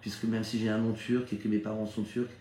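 An echoey recording carries a middle-aged man from France with a soft, mellow timbre. He talks informally like a business mentor sharing his experience. His delivery is conversational, regularly seeking agreement from his listeners. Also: fairly low pitch, level tone, a little fast, slight background noise. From Strasbourg, Alsace, France. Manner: conversational, seeks listeners' agreement with frequent check-ins. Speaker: network marketing leader. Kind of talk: informal motivational talk recounting own career. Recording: echoey, roomy sound.

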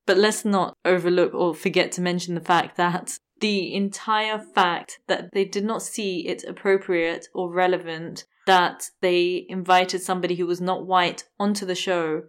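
A woman co-hosting a discussion podcast, speaking in a thoughtful, frank, conversational way. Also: fairly low pitch. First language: not German. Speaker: podcast co-host.

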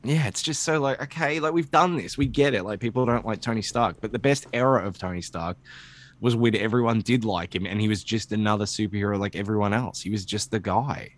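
Male podcast co-host, slightly low register, animated and sweary, loose opinionated chat. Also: a little fast. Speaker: comic book podcast co-host.

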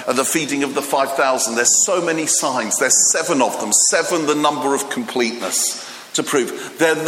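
A Greek-born man preaching a sermon, retelling stories in a lively, animated way with many restarts and fillers. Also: a little high, a little hiss or hum. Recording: echoey hall with long reverb, faint ambience.

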